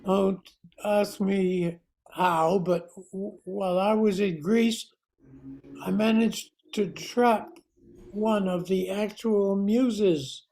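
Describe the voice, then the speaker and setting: Casting: haunted male author played by a woman, anguished and halting, mellow timbre, unhurried, radio drama. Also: mid-range voice, fairly even intonation, poor audio. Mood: haunted confession. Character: desperate tormented male famous writer, haggard and pleading.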